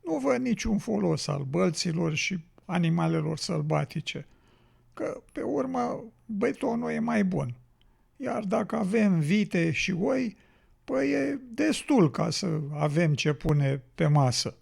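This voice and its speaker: An actor playing a weary, ailing road builder in an educational radio drama, gravelly and husky, with a plaintive storytelling manner. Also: male voice, high voice, expressive, a little mellow, full character voice. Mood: grumbling.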